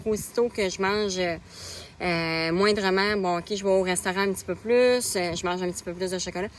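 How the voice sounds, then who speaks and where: A woman's voice: medium pitch, slight background noise. A woman in her thirties with a Québécois French accent, a nutrition coach talking casually in a live stream and greeting viewers by name as she goes. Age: 30s.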